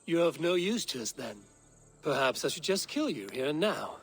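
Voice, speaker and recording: A man voicing a commanding, fatalistic Viking warrior in a video game, measured and terse. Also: on the high side, big pitch swings, a crowd in the background. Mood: threatening, decisive. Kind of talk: video game story acting.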